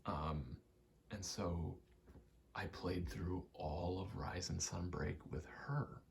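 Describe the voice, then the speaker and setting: A soft-spoken man rambling casually in ASMR style, meandering and murmuring, often dropping toward a whisper. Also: low voice, fairly even intonation, takes it slowly, vocal fry. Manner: meandering, self-correcting, casually sweary. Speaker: video maker rambling over gameplay. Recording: faint background noise.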